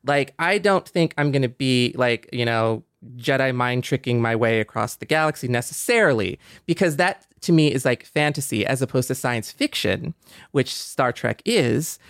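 A man's voice: medium pitch, animated, moderate pace, fairly bright tone, clear, resonant tone, dry recording, clean studio sound. A man hosting a podcast, talking enthusiastically with lots of fillers. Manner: enthusiastic, many fillers like "like" and "you know". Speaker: podcast host. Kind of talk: casual conversation.

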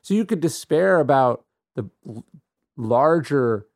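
A man's voice: medium pitch, lively intonation, slow pace, a little mellow, dry recording, studio quality. A middle-aged man hosting a podcast, talking through ideas in an earnest, emphatic, conversational monologue and often checking in with "right?". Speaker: podcast host. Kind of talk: conversational reflective monologue on philosophy.

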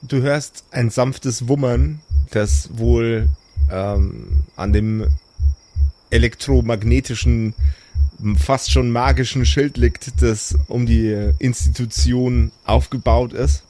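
A man's voice: medium pitch, steady tone, slow, mellow. A man narrating and chatting casually as the game master of an improvised role-play show. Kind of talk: improvised tabletop narration and chat.

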